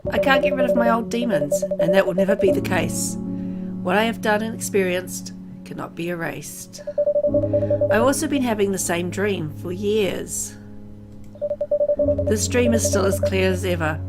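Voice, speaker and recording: A grieving father, bitter and haunted by guilt, voiced by a woman. The delivery is brooding and dramatic, as in a visual novel, with loud music underneath. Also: mid-range voice, lightly acted. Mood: brooding regret. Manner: dramatic, brooding, deliberate phrasing.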